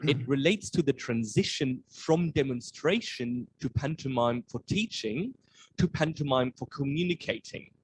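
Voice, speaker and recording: A man's voice: mid-range voice, slightly rough, low-quality recording. A man moderating an academic talk, reading out questions from the audience.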